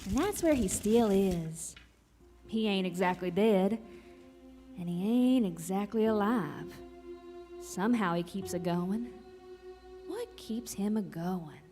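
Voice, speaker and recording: A woman's voice: mid-range voice, expressive, slow pace, pauses often, mellow, faint music behind. A woman storyteller narrating a folksy horror tale live on stage, with dramatic phrasing and rustic dialect. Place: live stage in a recreation center.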